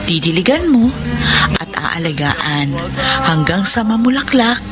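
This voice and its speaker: Principled young woman, lowish voice, radio drama. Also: sing-song, measured pace. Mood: patient, wise. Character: principled, patient young single woman with traditional values. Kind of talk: Filipino radio drama acting. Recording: radio drama with loud music bed. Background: loud music.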